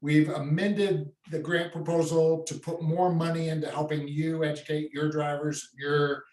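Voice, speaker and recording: A man's voice: fairly high pitch, level tone, unhurried, a little mellow. An older man, head of a trade association, talking plainly to members on a conference call, with many restarts. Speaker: association CEO. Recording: echoey and distant from the mic.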